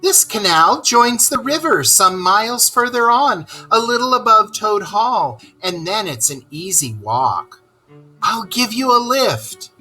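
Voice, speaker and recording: Woman playing a high-voiced, hearty barge woman. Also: big pitch swings, a little slow, sharp tone, soft background music, exaggerated voice, poor audio. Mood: friendly. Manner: polite, hearty, chatty. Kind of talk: children's storybook read-aloud.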